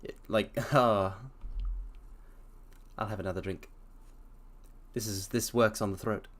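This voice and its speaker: A man streaming a dramatic reading, pausing for theatrical asides to his listeners. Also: on the low side, fairly even intonation, a bit bright. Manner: theatrical, addresses listeners as mortals.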